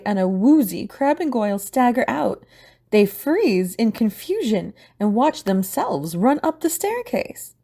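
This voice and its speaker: A woman with a husky voice reading scripted audio drama narration as the narrator and host. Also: on the high side, warm tone.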